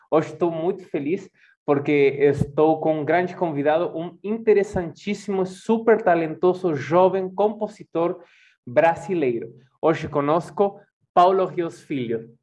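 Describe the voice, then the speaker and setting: Man hosting, slightly high. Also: dark tone. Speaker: podcast host and classical guitarist. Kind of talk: podcast hosting.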